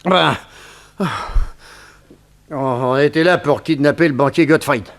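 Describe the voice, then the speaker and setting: A man's voice: fairly high pitch, measured pace. A man playing a wily tough guy posing as a whining captured crook in a 1960s French radio crime serial, cheeky and sometimes stammering. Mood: whining, cornered.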